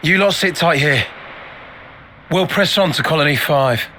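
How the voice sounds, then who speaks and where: Easygoing higher-voiced soldier. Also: male voice, animated, unhurried, sharp tone, slight background noise. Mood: reassuring. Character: easygoing, modest male soldier comrade. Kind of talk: video game cutscene voice acting. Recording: music behind the voice.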